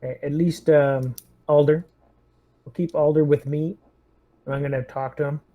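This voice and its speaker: A man's voice: on the high side, fairly even intonation, moderate pace, lo-fi sound. A man playing a tabletop roleplaying game, talking casually with the group about what to do.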